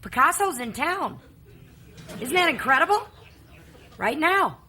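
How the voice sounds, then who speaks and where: A woman's voice: high voice, very animated, unhurried, sharp tone, a little hiss or hum, heavily acted. An actress playing a dotty, oblivious older mother in a stage play, with a guileless American voice and a mildly scolding manner. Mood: excited, dotty. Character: dotty, oblivious elderly mother of grown sons.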